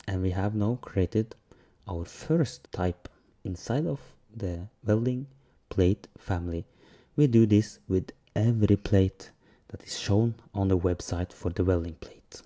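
A man hosting a software tutorial, talking through each step plainly with pauses over the sound of clicks and typing. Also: on the low side. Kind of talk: screen-recorded software tutorial.

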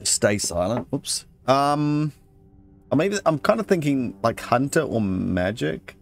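A man's voice: medium pitch, big pitch swings, takes it slowly, vocal fry. A man hosting a let's play, casually thinking aloud and reading game text as he goes. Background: game music.

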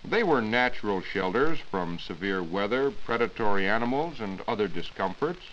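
A man's voice: fairly low pitch, expressive, normal speed, a bit bright. Formal man on muffled, hissy old radio. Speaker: science reporter. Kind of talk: scripted 1940s radio science talk.

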